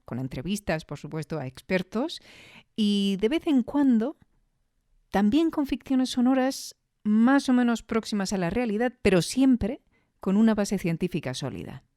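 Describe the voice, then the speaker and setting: Female host, Spain Spanish accent, soft dark tone, close dry sound, thoughtful conversational commentary. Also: mid-range voice, no room sound. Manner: reflective, explanatory, with rhetorical questions. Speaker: audio fiction review show host.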